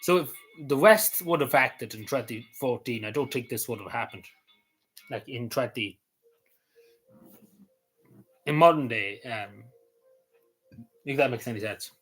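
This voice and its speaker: A man's voice: mid-range voice, unhurried, long pauses, a bit bright, husky, soft background music, rough recording. Rambling man talking casually. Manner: rambling, hesitant, many fillers and restarts, chuckles often. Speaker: vlogger.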